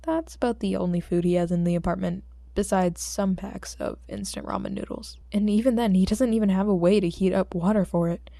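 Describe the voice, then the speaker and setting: A woman's voice: mid-range voice, brisk, vocal fry, hardly any pauses, dark tone, no room sound. A woman with a sore throat, speaking as an amateur audiobook reader in an informal, homemade style. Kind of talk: story narration and casual chat with listeners.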